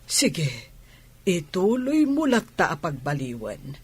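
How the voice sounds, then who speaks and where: An actress as an older Ilocano auntie in a radio drama, eager for grandchildren. Her voice is husky and swings widely in pitch, and she takes her time. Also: big pitch swings, slow, a little mellow, heavily acted. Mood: serious, businesslike. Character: older well-off matchmaking aunt eager for grandchildren.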